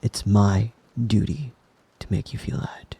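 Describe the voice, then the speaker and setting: Man voicing a possessive young wolf-boy lover, ASMR roleplay, soft mellow tone, gravelly fry, breathy whispering, doting, soothing. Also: fairly low pitch, full character voice. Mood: intense, possessive. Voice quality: breathy, husky, often dropping to a whisper. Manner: tender, doting, slow and lingering with pet names. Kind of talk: intimate ASMR roleplay, soothing bedtime murmur.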